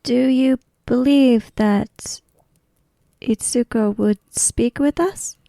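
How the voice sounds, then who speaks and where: Woman as a very slow-spoken, reserved young samurai. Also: on the high side, gravelly, mellow, no room sound, clean studio sound. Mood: reserved, curious. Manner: polite, formal, reserved.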